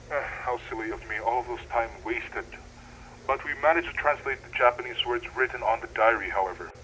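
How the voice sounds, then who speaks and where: An earnest male researcher, played by a man, keeps an audio log in an analog horror story. His voice is mid-pitched and his wording a little formal, full of wonder at discovery. The recording has faint radio-like noise. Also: bright, forceful tone, natural voice, rough recording. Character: excitable male deep-sea researcher keeping an audio log.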